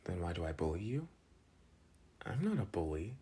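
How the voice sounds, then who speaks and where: School bad boy played by a young man: deep-leaning raspy voice, slangy, protective, teasing, close ASMR boyfriend roleplay. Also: sing-song, normal speed, heavily acted. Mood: teasing, coaxing. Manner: casual slangy teasing with soft sighs. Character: protective teenage school delinquent, gruff but soft-hearted, teasing.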